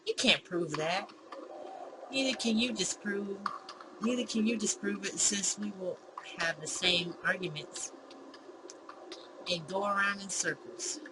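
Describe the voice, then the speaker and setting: A woman plays a visual novel man, bewildered and quick to argue. The delivery is indignant and pedantic with a sarcastic edge, at a measured pace. Clear noise sits behind the voice. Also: medium pitch, close to an everyday voice. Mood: argumentative. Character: bewildered, argumentative man facing his own double. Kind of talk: visual novel character read-aloud.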